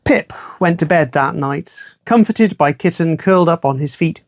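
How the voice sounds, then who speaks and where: A storyteller reads a children's tale aloud with expressive, measured delivery and a wry touch. The voice has a noticeable creak and sits in a very dry recording with little room sound. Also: mid-range voice, no room sound.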